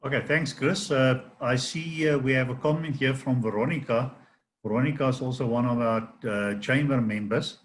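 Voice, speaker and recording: Male moderator, mid voice, calm, video call, faint hiss. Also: medium pitch. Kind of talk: moderating an online session. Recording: faint background noise.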